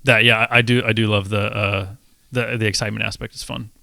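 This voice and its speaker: A man co-hosting a podcast in casual chat, earnest and halting as he thinks aloud. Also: on the low side, flat delivery, creaky, sharp tone, no echo, clean studio sound. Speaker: podcast co-host.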